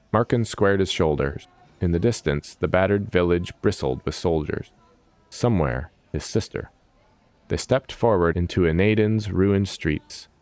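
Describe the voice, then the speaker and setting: A man's voice: low voice, a little mellow, dry recording. A man reading scripted fantasy narration in a dramatic storytelling style.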